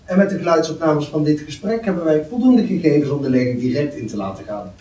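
Male actor as formal, polite office worker, animated intonation, recorded at a distance. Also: fairly high pitch, quick pace, natural voice. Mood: courteous, businesslike. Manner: courteous, formal. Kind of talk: script table read. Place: meeting room, indoors. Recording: roomy, distant mic, faint noise.

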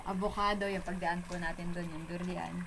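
A woman's voice: fairly low pitch, level tone, moderate pace, background noise. A young woman giving a polite, casual tour of her farm outdoors by a pond, mixing English into her talk. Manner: polite, mixes English into Tagalog. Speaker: farm owner showing the farm.

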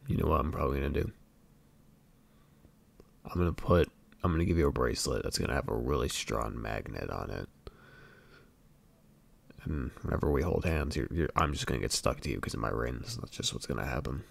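A man playing an affectionate, clingy emo boyfriend in an intimate ASMR roleplay, murmuring tenderly and haltingly with a breathy, gravelly, very deep voice. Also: expressive, heavily acted. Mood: playful, affectionate. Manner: tender, unhurried, halting and doting.

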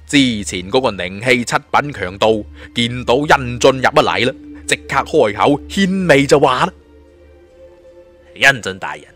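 A man narrating an adventure tale in as a theatrical, expressive audiobook storyteller. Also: medium pitch, normal speed, few pauses, soft background music.

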